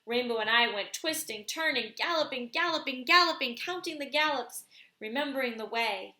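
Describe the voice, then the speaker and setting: A woman's voice: high-pitched, lively intonation, moderate pace, pushed and bright, roomy sound. A woman voicing an eager, earnest young boy in a storybook read aloud. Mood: excited.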